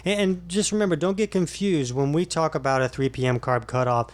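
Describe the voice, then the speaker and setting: A man's voice: on the high side, a little fast. A middle-aged man co-hosting a casual podcast, explaining diet advice in an easygoing way with frequent fillers. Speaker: podcast co-host, fitness coach.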